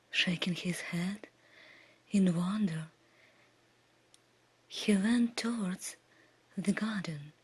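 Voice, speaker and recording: A woman tells a bedtime fairy tale in a breathy whisper. Her delivery is very slow and hushed, with soft, soothing phrasing made for falling asleep. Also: lowish voice. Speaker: ASMR storyteller.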